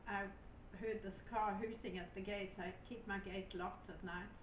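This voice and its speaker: An older woman from Zimbabwe, a guest telling her story in a gentle, matter-of-fact way. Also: medium pitch, fairly even intonation, a little slow. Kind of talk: storytelling interview answers. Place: daughter's home. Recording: echoey room with noise.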